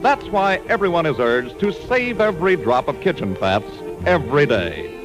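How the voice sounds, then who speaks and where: A male American announcer in 1940s radio style, pitched high and very animated. He reads from a script over music on a vintage broadcast recording. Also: moderate pace, rough recording.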